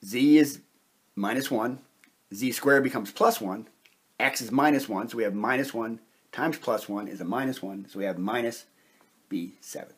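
A man with a slightly husky voice giving a methodical, step-by-step explanation as a science instructor at a whiteboard. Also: mid-range voice, normal speed. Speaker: chemistry instructor.

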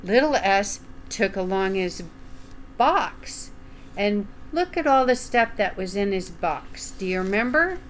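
An older woman reading a children's picture book aloud at home, enunciating deliberately and putting questions to the young listener. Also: mid-range voice, expressive, a little hiss or hum. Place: small room at home, indoors.

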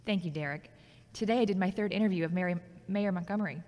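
A woman playing a confident, ambitious radio journalist with a teasing, warm manner in an audio drama. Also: on the low side, fast talker, natural voice. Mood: proud, probing. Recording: echoey hall-like reverb.

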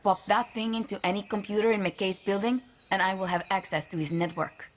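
An actress playing a hard-nosed guerrilla commander in a video game, giving clipped, commanding briefings. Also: female voice, medium pitch, fairly even intonation, brisk, a little hiss or hum, natural voice. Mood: determined.

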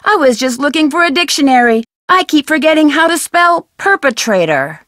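An actress playing a curious, upbeat young woman detective in a video game, with a friendly, clearly articulated delivery. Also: high voice, a bit bright, dry recording, studio quality. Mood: playful, indignant. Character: Nancy Drew, curious, upbeat young woman amateur sleuth.

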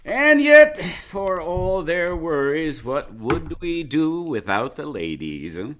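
An actor playing a brisk, patronizing male county attorney in a live radio play, recorded at home over a video call. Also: fairly high pitch, very animated, a bit bright, slight background noise, heavily acted. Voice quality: patronizing, chuckling. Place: home closet or desk. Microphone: home mic over video call.

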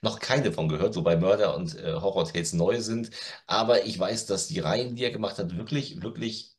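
Low-pitched middle-aged man, wry and chatty. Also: brisk. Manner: wry, joking. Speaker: co-host of an audio drama news show. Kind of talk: casual chat. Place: room at home.

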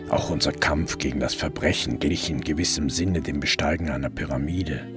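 An actor playing a sober, weary police detective, narrating a radio crime drama in a measured, reflective way. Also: male voice, a bass voice.

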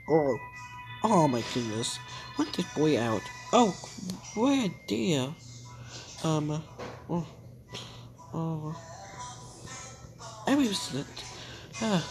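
A woman's voice: on the low side, poor audio. A woman improvising a cartoon story, rambling playfully and switching into character voices. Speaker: hobby animator narrating and voicing characters. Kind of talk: improvised cartoon play-along narration.

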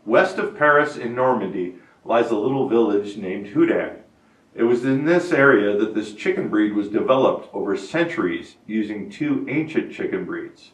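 A man in his fifties with a somewhat low voice reads a scripted breed profile in a steady, informative manner. He speaks from a studio set into a lapel mic, and the recording carries a roomy echo that makes him sound a little distant from the mic. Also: moderate pace. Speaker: host of a hobby farm channel. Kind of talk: scripted breed spotlight narration. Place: indoor studio set before a wooden barn-wall backdrop. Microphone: lapel mic, medium camera framing.

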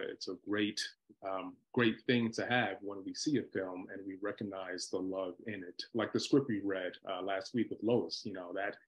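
Man, fairly low pitch, reflective, halting phrases, casual group chat, video call mic. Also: rough recording.

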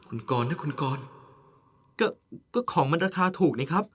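A man's voice: on the high side, lively intonation, normal speed, slightly rough. A man playing a caring, nagging, playful partner of an older man in an audio drama, polite yet chiding. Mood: chatty. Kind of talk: Thai audio drama acting.

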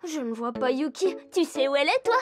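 A bubbly, very high cartoon puppy. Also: female voice, brisk, background noise. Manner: bubbly and excitable, talks to viewers. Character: cheerful young yellow puppy explorer. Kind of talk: animated cartoon dialogue.

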